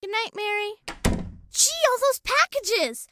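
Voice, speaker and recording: A woman's voice: very high voice, lively intonation, a little slow, bright, forceful tone, slight background noise, exaggerated voice. A woman playing a sweet, eager young boy in an old-time radio drama. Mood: excited.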